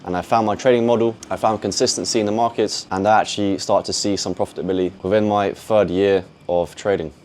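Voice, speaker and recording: A young man in his twenties with a lowish voice talks easily as a podcast guest. He speaks to the viewers directly, in a casual, unhurried way. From Poland. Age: 20s. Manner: relaxed, conversational, addresses viewers directly. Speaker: podcast guest, futures trader. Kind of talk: casual interview answers.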